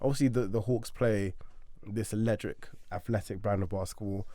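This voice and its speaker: A man's voice: on the low side, steady tone, normal speed, mellow, dry recording. A man co-hosting a casual basketball podcast, who talks through team analysis with lots of hesitant "um"s. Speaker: podcast co-host.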